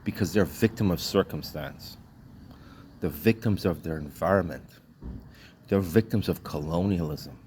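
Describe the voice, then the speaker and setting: A man's voice: low voice, normal speed, darkish tone, a little hiss or hum. A man from Trinidad and Tobago delivering a blunt, indignant vlog rant in short clipped sentences, swearing freely. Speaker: vlogger. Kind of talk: ranting monologue.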